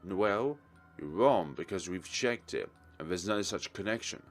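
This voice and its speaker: Man acting a bewildered, animated young detective. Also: deep voice, a little slow, faint music behind. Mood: agitated, defiant. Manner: urgent, questioning, exclamatory. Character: bewildered young male detective, agitated and defiant.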